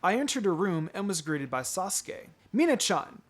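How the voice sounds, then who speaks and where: A man's voice: high voice, very animated, moderate pace. A man reading fan fiction aloud as dramatic first-person narration.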